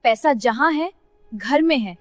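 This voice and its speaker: Woman playing a high-pitched, determined village girl. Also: lively intonation, measured pace, dry recording, clean studio sound, faint music behind. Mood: shrewd. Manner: determined, pleading, respectful. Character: brave, clever young village farmer's daughter. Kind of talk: dramatized moral story dialogue.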